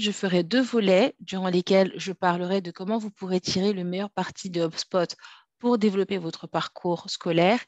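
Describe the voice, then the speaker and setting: A woman's voice: lowish voice, dry recording. A woman from France giving a structured, polite masterclass presentation over a video call. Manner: polite, measured, structured, some fillers. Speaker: guest speaker, trainer.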